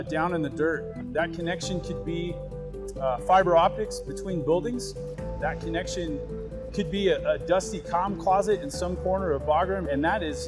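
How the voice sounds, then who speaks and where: A man in a military role talking earnestly and informally in a documentary interview. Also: fairly high pitch. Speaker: air force communications squadron leader.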